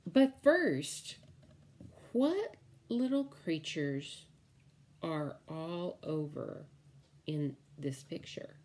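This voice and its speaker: A middle-aged woman reads a picture book to little children in a fairly low voice. Her delivery is very slow and deliberate, with words drawn out and simply explained, as a preschool teacher would at story time. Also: animated, a little mellow. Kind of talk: picture-book read-aloud for small children. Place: indoors, room at home with window blinds.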